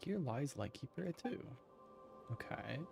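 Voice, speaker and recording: A man's voice: mid-range voice, very animated, measured pace, vocal fry, music in the background. A man casually commenting on a video game as he plays, with wry, dry asides. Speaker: gaming streamer.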